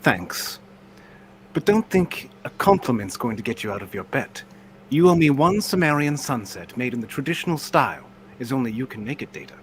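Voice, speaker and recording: A man's voice: mid-range voice, big pitch swings, moderate pace, a little hiss or hum, natural voice. A man playing a confident, playful female ship's counselor in an amateur radio drama, teasing and self-assured. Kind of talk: amateur radio theatre reenactment.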